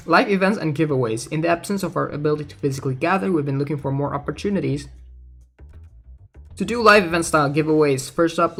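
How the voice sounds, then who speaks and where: Gaming vlogger, casual commentary, medium pitch, background music, reads news aloud. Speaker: gaming content creator. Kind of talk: casual spoken commentary on game news, partly reading aloud.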